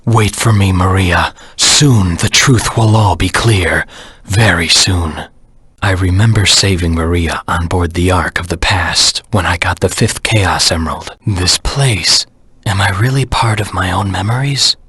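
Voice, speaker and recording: A male voice actor playing a brooding, determined hedgehog anti-hero in a video game, with a gravelly rasp and a grim, deliberate delivery. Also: deep voice, a bit bright, no room sound, exaggerated voice. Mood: brooding, searching. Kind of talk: scripted game dialogue and story narration.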